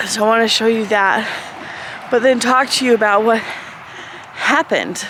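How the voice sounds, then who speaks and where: Slow-talking hoarse homesteading woman. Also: on the high side, background noise. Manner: chatty, rambling, talks to animals. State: slightly hoarse. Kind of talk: casual vlog chat. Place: outdoors on a farm in freezing cold.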